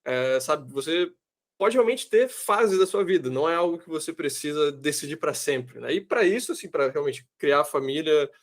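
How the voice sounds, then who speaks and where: A man hosting a podcast, animated and slangy, and he acts out what other people might say. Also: on the high side, moderate pace. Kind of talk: casual interview chat.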